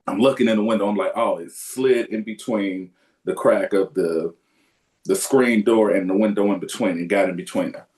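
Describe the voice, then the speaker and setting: A man casually telling a profane, animated story as a podcast co-host. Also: on the low side, moderate pace. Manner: animated, profane, loud yelps when acting out.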